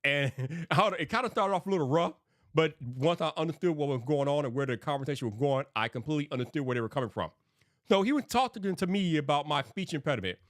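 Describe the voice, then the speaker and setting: A man's voice: a little high, expressive, fast talker, no echo, clean studio sound. A man with a lisp, talking earnestly and casually to his livestream chat as a tech creator. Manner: earnest, rambling, sometimes hypes viewers. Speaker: tech YouTube creator live streaming.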